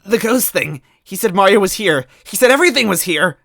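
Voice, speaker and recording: A man's voice: high-pitched, expressive, fairly quick, gravelly, very noisy, pitched above the performer's own voice. A man voicing a distraught, defiant little mushroom girl in a story reading, pleading and argumentative. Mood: desperate, upset.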